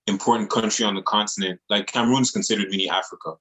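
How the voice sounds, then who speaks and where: A man with a deep, low-pitched voice. He talks like an artist in a relaxed interview, earnest and slangy, circling back over his phrases as he makes a point. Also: rapid-fire. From Montreal, Canada. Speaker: music artist being interviewed. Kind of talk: casual interview chat.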